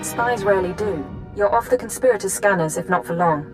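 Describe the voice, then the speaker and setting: An actress playing a businesslike, dry-witted intelligence handler in a video game. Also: female voice, medium pitch, brisk, music in the background, lightly acted. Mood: brisk, dry.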